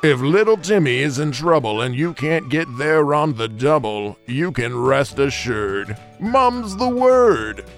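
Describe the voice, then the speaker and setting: A man's voice: on the high side, very animated. Guy doing a cheesy insurance ad announcer, big swoopy emphasis, fake sincere and callous, music under it. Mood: upbeat salesman. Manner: theatrical, exaggerated emphasis with dramatic pauses. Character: gleefully callous insurance commercial pitchman, mock-sincere salesman. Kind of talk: satirical radio commercial narration.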